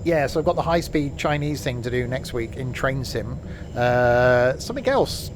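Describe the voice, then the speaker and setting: A man's voice: medium pitch, animated, moderate pace, noisy background. Chatty man live-streaming a game. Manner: rambling, chatty, with many fillers and asides. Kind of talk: casual live-stream chat while playing.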